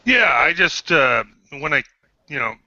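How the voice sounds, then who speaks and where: A man in his fifties, a guest on an online panel, speaking haltingly with many pauses and restarts. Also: a little high, sing-song, bright, forceful tone, dry recording, muffled recording. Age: 50s. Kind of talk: casual group chat.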